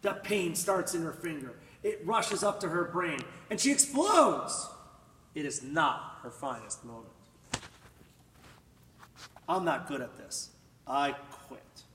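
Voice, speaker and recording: A man reading a children's picture book aloud in an expressive, dramatic manner, drawing out words and swelling with emphasis. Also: a little high, slow, gravelly, pauses often. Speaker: storybook reader. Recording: echoey room, distant mic, faint noise.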